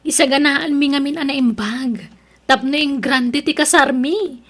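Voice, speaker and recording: Snooty young ilocano girl in a radio drama, really high voice, brags and looks down on people. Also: unhurried, fairly bright tone. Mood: snobbish. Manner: haughty, belittling. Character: boastful, gossipy young woman proud of her professional boyfriend. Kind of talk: Ilocano audio drama acting.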